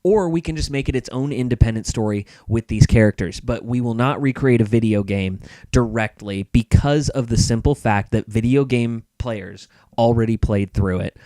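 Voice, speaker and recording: A man's voice: medium pitch, normal speed, hardly any pauses, warm tone, dry recording. Emphatic man in a dry, close recording. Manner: emphatic, repeats points for stress. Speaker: podcast guest. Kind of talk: casual opinionated discussion.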